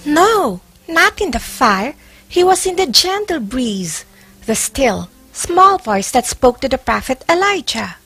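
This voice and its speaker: A woman's voice: high-pitched, big pitch swings, takes it slowly, sharp tone, exaggerated voice. A woman playing a devout, plaintive nun in a stage play. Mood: devout, regretful. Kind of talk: amateur stage play acting.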